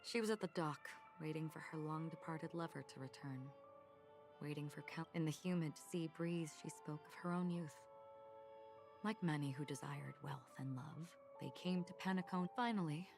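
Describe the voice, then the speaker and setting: An actress playing a calm, world-weary wanderer in a video game, telling of her travels in measured, solemn storytelling. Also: sits low. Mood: calm, reflective. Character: calm, solemn, world-weary wandering woman recounting what she has seen. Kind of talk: scripted video game dialogue. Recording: music behind the voice.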